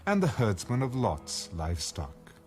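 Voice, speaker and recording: A man's voice: lowish voice, huge pitch swings, a little slow, darkish tone. A man narrating scripture as a scripted, dramatized reading. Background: music.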